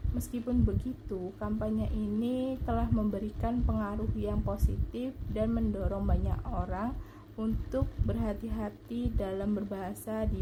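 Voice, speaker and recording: A young adult woman speaks at a medium pitch. She delivers a scripted student presentation in a formal, careful way. The recording has clear background noise.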